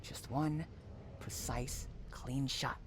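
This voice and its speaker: Man acting slow-talking obsessive, menacing stalker, noisy recording. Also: medium pitch, fairly even intonation. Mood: soft, threatening. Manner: taunting, swinging between soft coaxing and menace. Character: obsessive, possessive, unhinged male stalker and kidnapper. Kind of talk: intimate audio roleplay acting.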